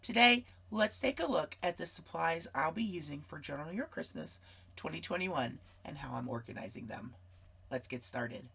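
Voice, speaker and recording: A woman hosting a scrapbooking vlog, hoarse from a cold and losing her voice, chatty and rambling as she talks straight to her viewers. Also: low-pitched, expressive, moderate pace, noisy background. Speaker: scrapbooking channel host. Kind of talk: casual craft supply walkthrough.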